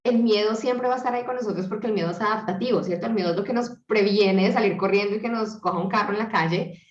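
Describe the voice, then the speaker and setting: A woman's voice: medium pitch, fast. A woman hosting a webinar over a video call, speaking in an encouraging, reflective way. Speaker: webinar host. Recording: echoey, dull lo-fi video call.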